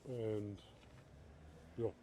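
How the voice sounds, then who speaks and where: A middle-aged man from Bavaria with a German accent, chatting casually while walking outdoors and talking to viewers in a live video, moving between German and halting English. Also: lowish voice, level tone, dark tone, slight background noise. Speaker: livestream vlogger. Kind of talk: casual live walk-and-talk. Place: outdoors in a town at night.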